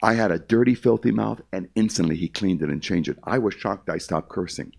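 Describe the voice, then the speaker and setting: Older man with a low gravelly voice. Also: brisk, darkish tone. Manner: emphatic, repetitive, addresses listeners as "my friend". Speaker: concert pianist giving testimony. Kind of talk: evangelistic testimony speech to a live audience.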